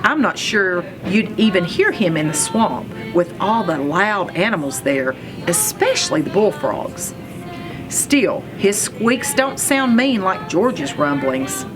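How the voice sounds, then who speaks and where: A woman reading a children's storybook aloud in an expressive, animated storytelling manner, telling it as a first-person narrator. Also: lowish voice, slow, over music. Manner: animated storytelling with acted sound effects.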